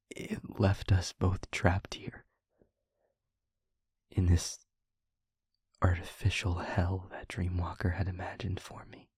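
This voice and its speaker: Man as a hushed, very breathy weary demon. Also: deep voice, dry recording, studio quality, full character voice. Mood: grave, confiding. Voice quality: breathy, hushed, half-whispered. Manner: halting, deliberate, earnest. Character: weary male demon confiding a painful truth. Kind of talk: scripted audio roleplay, intimate monologue to listener. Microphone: close microphone, dry.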